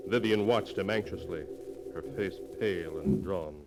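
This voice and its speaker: A man narrating a mystery story in a solemn, deliberate, dramatic 1940s radio style. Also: low voice, background noise. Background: music.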